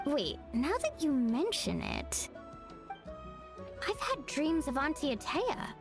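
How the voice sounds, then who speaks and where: Young female game character, high voice, animated intonation, playful, expressive, light music. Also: takes it slowly, gravelly, heavily acted. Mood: wondering. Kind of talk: video game voice acting.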